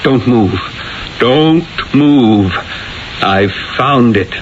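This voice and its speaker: An actor playing a proud, imperious Renaissance genius in a theatrical, self-assured 1970s radio drama manner. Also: male voice, mid-range voice, lively intonation, drawn-out words, slight background noise. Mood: intense, triumphant. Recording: dull vintage radio broadcast with music.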